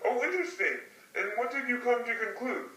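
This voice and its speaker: A man's voice: very high-pitched, very animated, a little fast. A man voicing an upbeat Superman who hosts an amateur puppet talk show. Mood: bright, brisk. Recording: roomy, distant microphone, faint noise.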